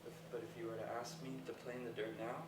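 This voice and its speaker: A man acting a self-conscious, earnest character with halting, hesitant delivery in naturalistic dialogue at a staged play reading. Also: mid-range voice, level tone, lightly acted. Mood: wistful, earnest. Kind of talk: naturalistic stage dialogue before a live audience. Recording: echoey, noisy room recorded from far off.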